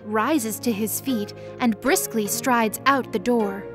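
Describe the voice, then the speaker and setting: Higher-pitched woman narrating a fantasy story. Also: slow pace, over music. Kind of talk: scripted story narration, present tense.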